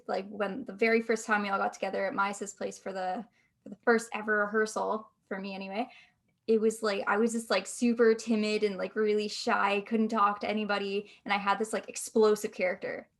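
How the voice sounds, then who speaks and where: Woman reflecting casually, medium-pitched voice. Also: little pitch movement, moderate pace. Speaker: voice actor in an audio drama.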